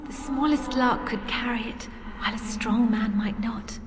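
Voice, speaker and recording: An actress voicing a gentle, wistful spirit of a devoted friend, speaking lyrically in a fantasy game. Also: female voice, a little high, fairly even intonation, moderate pace, music in the background, natural voice. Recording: distant, noisy echoing hall.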